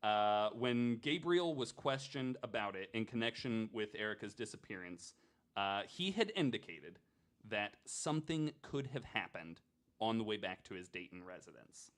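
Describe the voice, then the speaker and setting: Male podcast host, on the low side, relaxed conversational true crime narrator, informal and jokey. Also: normal speed. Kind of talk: casual true crime storytelling chat.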